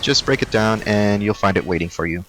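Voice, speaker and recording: A man narrating a video game walkthrough, giving brisk, matter-of-fact directions to collectibles. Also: fairly low pitch, a bit bright, rough recording. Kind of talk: walkthrough voice-over.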